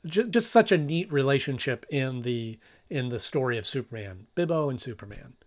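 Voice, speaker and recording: A man's voice: medium pitch, expressive, moderate pace. A man hosting a casual review, commenting in a conversational, thinking-aloud way with emphasis. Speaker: host, cartoon reviewer.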